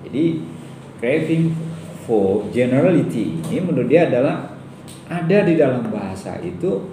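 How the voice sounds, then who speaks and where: A man's voice: medium pitch, expressive, moderate pace, dark tone. A man with a Sumatran Indonesian accent giving a conversational classroom lecture, putting rhetorical questions to his students. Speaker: university lecturer. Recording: roomy echo, distant mic, faint background noise.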